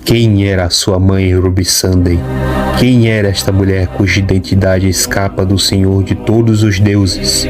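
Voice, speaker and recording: Guy doing ancient death god for audio drama, brazilian accent, low solemn voice, pompous n menacing, loud music under it. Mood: grandiose, obsessed. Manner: solemn, declamatory, archaic pompous phrasing.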